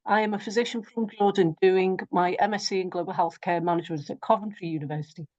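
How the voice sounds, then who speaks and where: A woman's voice: mid-range voice, steady tone, fast, a little mellow, no room sound. A woman moderating a webinar, reading out audience questions in a friendly, encouraging way. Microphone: video call. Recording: dull lo-fi call audio.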